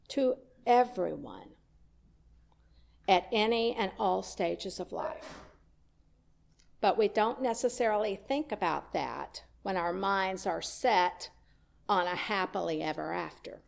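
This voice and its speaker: Slow-spoken woman minister preaching. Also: medium pitch, expressive, creaky. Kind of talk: sermon.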